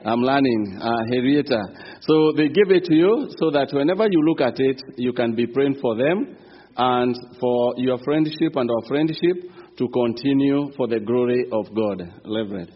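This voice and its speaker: A man's voice: mid-range voice, slightly rough. A man from Kenya with a Kenyan accent, preaching as a visiting pastor in earnest, building fervor. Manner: earnest preaching, rising fervor, some halting restarts. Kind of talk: sermon. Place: church sanctuary. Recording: reverberant church hall, dull sound.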